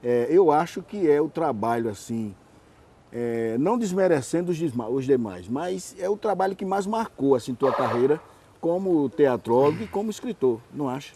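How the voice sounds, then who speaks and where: Male TV interviewer, soft mellow tone, rambling conversational questions with repeats. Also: on the high side, animated, normal speed, a little hiss or hum. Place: TV studio.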